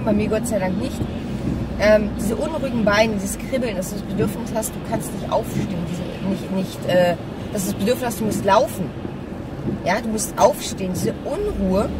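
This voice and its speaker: Female vlogger, slightly hoarse, mid-pitched, confiding and halting, recorded at a distance in a noisy moving car. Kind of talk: casual chat while driving. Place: inside a small car while driving. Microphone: camera or phone mounted on dashboard. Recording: distant mic, loud car road noise. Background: car engine and traffic noise.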